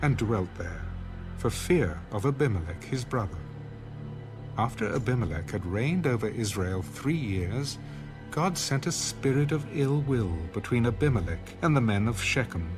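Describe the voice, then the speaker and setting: A man narrating an audio Bible in a measured, formal scripted style. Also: mid-range voice, animated, music in the background, close to an everyday voice.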